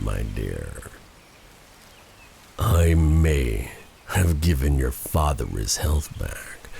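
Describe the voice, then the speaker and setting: A man playing a vengeful, silky dark sorcerer in a fantasy ASMR roleplay, taunting and theatrical, with breathy dips toward a whisper. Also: very deep voice, slight background noise, exaggerated voice. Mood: possessive, threatening. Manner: taunting, theatrical, drawn-out delivery with purred endearments.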